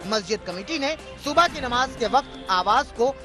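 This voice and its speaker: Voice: mid-range voice, lively intonation, moderate pace, over music. A news narrator reading a scripted, dramatic television report.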